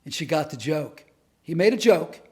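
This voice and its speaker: Male storyteller-teacher, slightly high voice, earnest and animated delivery. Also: normal speed. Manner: earnest, animated storyteller who acts out quotes. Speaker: comedic speaker and teacher. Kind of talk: storytelling lesson to camera.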